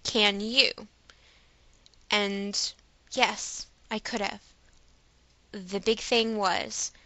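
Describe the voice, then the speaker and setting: A woman's voice: fairly high pitch, bright, forceful tone, no room sound. A woman vlogger talking casually and personally, giving earnest, emphatic and encouraging advice.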